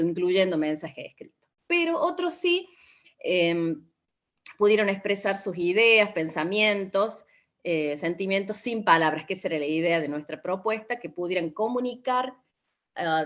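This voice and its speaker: A woman with a fairly low voice presents like a teacher at an online conference. She explains in a halting way and often seeks agreement. The sound is somewhat dull, as from a video call.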